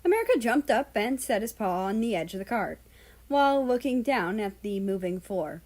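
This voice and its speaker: A woman's voice: on the high side, animated, moderate pace, a little mellow. A woman narrating a fan-written story aloud as its reader.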